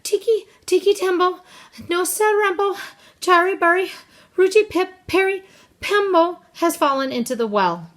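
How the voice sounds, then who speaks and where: A woman's voice: very high voice, lively intonation, normal speed, voice pushed up. A woman voicing a frantic, earnest little boy who gasps and calls out urgently in a storybook reading. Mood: panting, urgent. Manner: shouting, gasping, urgent.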